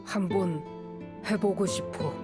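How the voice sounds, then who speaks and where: A woman voicing a reserved, earnest wild boy with halting, simple speech in an anime game. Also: mid-range voice, natural voice. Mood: earnest, determined. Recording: music faintly behind the voice.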